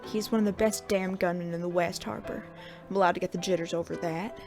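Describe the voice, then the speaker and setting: Woman playing a weary, creaky-voiced Western sheriff. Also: on the low side, steady tone, quick pace, over music, natural voice. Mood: defensive. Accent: American. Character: weary, gruff, sardonic veteran male sheriff of the Old West. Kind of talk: Western audio drama acting.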